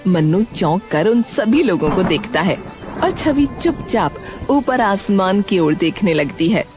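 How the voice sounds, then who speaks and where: A woman reads a scripted story as its narrator, in a voice of middling pitch. Music plays clearly behind her. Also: big pitch swings, a little slow.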